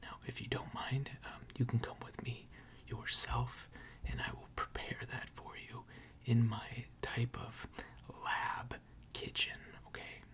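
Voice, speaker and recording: A person in an ASMR roleplay voicing a gentle, attentive mechanic who tends robots, speaking formally and deliberately in a soft, breathy whisper. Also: mid-range voice, little pitch movement, natural voice. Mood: soothing, attentive. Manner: slow, deliberate, soothing, formal.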